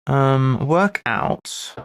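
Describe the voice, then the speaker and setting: A man streaming casually, thinking aloud in short, halting fragments as he works through puzzles. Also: mid-range voice, animated, a bit bright. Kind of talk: casual live-stream chat while solving crosswords.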